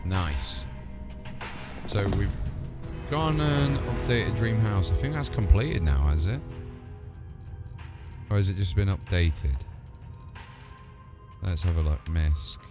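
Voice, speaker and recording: A man with a lowish voice commentates casually on a game as he plays. He chats to himself and talks back to the characters, with game music playing behind him. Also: slow pace, long pauses. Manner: chatty, talking to himself and replying to game characters. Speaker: game streamer. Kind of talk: casual live gaming commentary. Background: video game music and sound.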